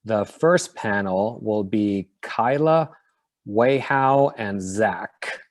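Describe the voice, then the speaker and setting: A man hosting a live online panel, speaking in an earnest, measured way on a video call. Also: fairly low pitch, expressive. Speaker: event host.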